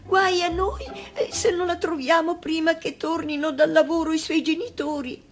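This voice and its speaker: A woman's voice: very high-pitched, flat delivery, moderate pace, soft background music, pitched above the performer's own voice. A woman voicing an anxious old grandmother in a storybook reading, fretful and protesting. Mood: frightened.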